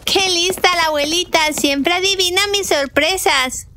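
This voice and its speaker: A woman's voice: very high voice, unhurried, bright, forceful tone, a little hiss or hum, heavily acted. A woman voicing a cheerful little witch girl who chats sweetly and giggles in a cartoon.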